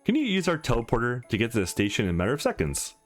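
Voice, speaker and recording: A man's voice: mid-range voice, wildly swooping pitch, rapid-fire, faint music behind. A man voicing a polite, matter-of-fact attendant at a space station dating service in a game, speaking formally. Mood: polite, informative. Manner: formal, scripted customer-service phrasing.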